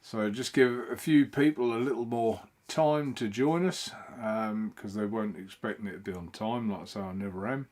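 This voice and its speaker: A man's voice: on the low side, normal speed, hardly any pauses, darkish tone. A man hosting a casual live stream from home, chatting in a rambling way and greeting viewers by name.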